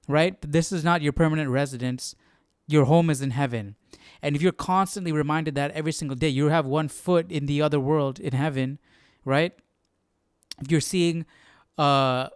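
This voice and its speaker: A man's voice: fairly high pitch, clean tone, no echo, studio quality. A man hosting a livestream study in casual, informal chat sprinkled with youthful slang and exclamations. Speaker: Bible study livestream host.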